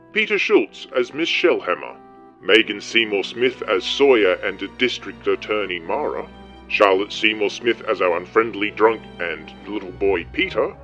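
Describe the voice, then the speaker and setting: A man's voice: on the low side, fairly bright tone. A man reading a scripted radio programme outro as its announcer. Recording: dull lo-fi sound with music behind. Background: music.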